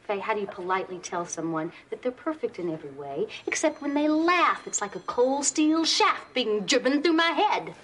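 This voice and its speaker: High-voiced, sardonic Southern sitcom woman, noisy soundtrack. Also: sing-song, normal speed, hardly any pauses, a bit bright. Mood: exasperated. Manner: drawling, folksy sarcasm. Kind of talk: multi-camera sitcom acting.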